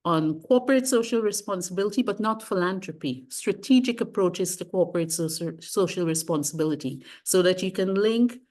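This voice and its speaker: A middle-aged woman from Guyana speaks in a fairly low voice as an interview guest. She is measured and careful, often restating a point mid-sentence to get it exactly right. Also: a little mellow. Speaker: guest, sustainability consultant. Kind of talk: interview, long career account.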